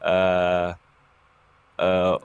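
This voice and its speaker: A young man speaks English with a Korean accent. His voice sits low, the sound is dry and close, and he talks haltingly with many fillers and self-corrections. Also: monotone, vocal fry, smooth, dry recording, highs cut off. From Busan, South Korea. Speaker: esports caster and former pro player. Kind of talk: casual interview over a call.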